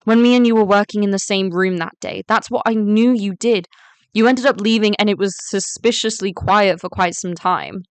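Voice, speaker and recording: A woman playing a wary, defiant student girl in a story drama, smooth and clear, explaining things at length. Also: mid-range voice, moderate pace, no echo, natural voice. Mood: defensive, accusing. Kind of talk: first-person story narration and voice-acted dialogue.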